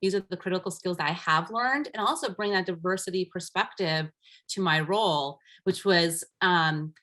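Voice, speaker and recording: Guest coach: middle-aged woman, first language Armenian, fairly low voice, earnest and reflective storytelling. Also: moderate pace, sharp tone. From United States, raised by immigrant parents. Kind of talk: casual interview talk.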